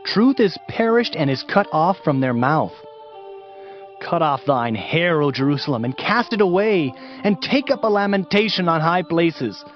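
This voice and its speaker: Higher-pitched, animated, solemn male scripture narrator. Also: normal speed. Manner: solemn, emphatic, declamatory. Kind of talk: dramatized Bible reading. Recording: slightly muffled, music underneath.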